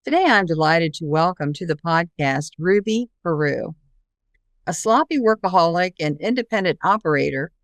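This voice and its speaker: A woman's voice: on the low side, no room sound. A woman hosting a podcast, moving between a read introduction and interview talk. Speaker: podcast host.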